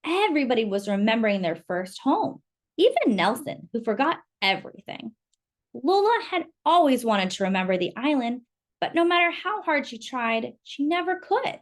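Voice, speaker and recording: A woman reading a children's picture book aloud, expressive and animated. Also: high-pitched, normal speed. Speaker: storyteller.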